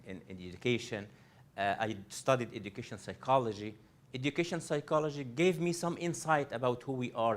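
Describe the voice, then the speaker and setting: A man from Egypt, a coach presenting a live workshop to an audience. He speaks earnestly, often restarting and repeating phrases as he addresses the room directly. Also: medium pitch. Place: library event room.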